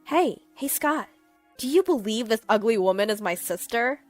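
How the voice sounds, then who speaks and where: A woman's voice: animated, moderate pace, no room sound, faint music behind, close to an everyday voice. A woman voicing a mean, snide younger sister who mocks and bosses her sibling. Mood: mocking. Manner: mocking and bossy, shrill when upset.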